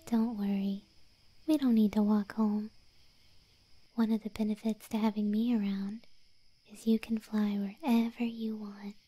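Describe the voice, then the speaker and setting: A woman's voice: medium pitch, dark tone. Woman acting a whispering, sweetly possessive moth girlfriend. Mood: soothing. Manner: breathy whispering, cooing, unhurried. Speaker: ASMR roleplay performer. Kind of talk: whispered ASMR roleplay. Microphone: close microphone, dry.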